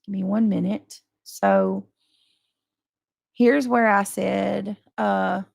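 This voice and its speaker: A woman's voice: mid-range voice, fairly even intonation, very slow, vocal fry, darkish tone, slightly rough, no echo, clean studio sound. A woman livestreamer talking off the cuff to her followers in a casual, defensive, emphatic and exasperated way. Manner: coarse, swearing. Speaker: livestream host addressing subscribers and moderators. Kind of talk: casual unscripted livestream monologue.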